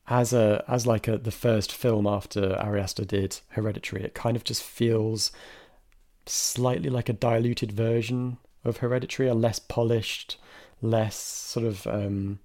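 A man's voice: lowish voice, dark tone. A man co-hosting a casual film discussion, chatty and thoughtful, with frequent restarts and hedges. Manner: chatty, many restarts and hedges, bursts of laughter. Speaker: film podcast co-host.

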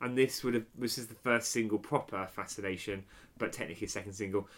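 A man's voice: lowish voice, fairly even intonation, fast talker, fairly bright tone. Chatty man with dry humour. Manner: chatty, dry humour, gives background facts. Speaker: podcast co-host. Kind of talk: casual chat reviewing an album.

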